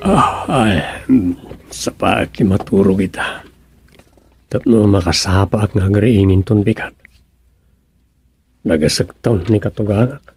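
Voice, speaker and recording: An actor with an Ilocano accent playing a fretful, muttering son-in-law in a radio comedy drama. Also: male voice, fairly low pitch, measured pace, gravelly, pauses often, darkish tone. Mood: pensive, worried.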